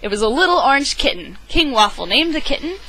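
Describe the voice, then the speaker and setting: A woman reads a children's picture book aloud in a dramatic, emphatic way. Her voice is on the high side and bright, with very animated intonation. She sounds like an enthusiastic amateur storyteller. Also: fast talker, slight background noise, rough recording. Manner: theatrical, emphatic delivery. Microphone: webcam microphone.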